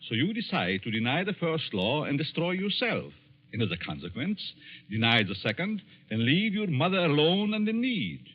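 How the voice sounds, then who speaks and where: Coolly menacing professor, swooping vintage radio voice. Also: male voice, on the high side, very animated, husky. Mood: insistent, persuasive. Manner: deliberate, probing, theatrical. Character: smooth, menacing middle-aged psychology professor, coolly persuasive. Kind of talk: 1940s radio thriller acting. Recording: dull vintage 1940s radio recording.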